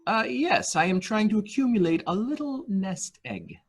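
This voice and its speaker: A man's voice: sits high, big pitch swings, normal speed. A man playing a deferential male nurse-attendant in a murder mystery reading, speaking formally, hesitantly and obsequiously. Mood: deferential, careful. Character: deferential male nurse-attendant to a rich invalid, primly servile.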